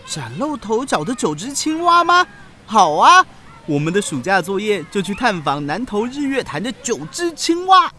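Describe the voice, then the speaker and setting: A man's voice: sits high, very animated, normal speed, pushed and bright, full character voice. A man playing a brash, scatterbrained, whiny schoolboy in a children's audio drama. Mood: excited.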